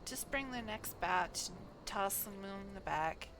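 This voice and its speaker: A woman's voice: medium pitch, lively intonation, unhurried, noisy background. A woman voicing a blunt, unsettled coachman from a fantasy game, with rustic colloquial speech. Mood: blunt, taken aback.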